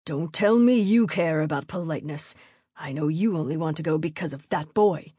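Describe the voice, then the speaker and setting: A woman voicing a stern, angry middle-aged baron with a gruff, commanding manner in an audiobook. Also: low voice, normal speed, dark tone, no echo, studio quality, pitched below the performer's own voice.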